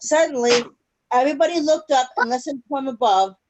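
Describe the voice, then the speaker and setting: A woman reading a picture book aloud to children in an expressive storytelling way over a video call. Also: high-pitched, brisk. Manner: expressive storytelling with questions to listeners. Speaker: children's story-time reader. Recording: noisy video call recording.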